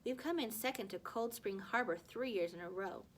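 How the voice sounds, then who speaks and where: A woman's voice: mid-range voice, normal speed, a little hiss or hum, close to an everyday voice. Woman voicing an eager, friendly schoolgirl. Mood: upbeat. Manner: eager, tentative, sympathetic. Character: earnest, friendly, eager middle-school girl.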